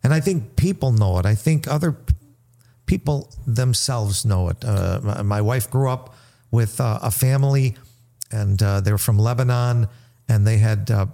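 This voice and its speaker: Mellow-voiced man with reflective stories. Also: fairly low pitch, normal speed, creaky. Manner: reflective, tells personal stories to make his point.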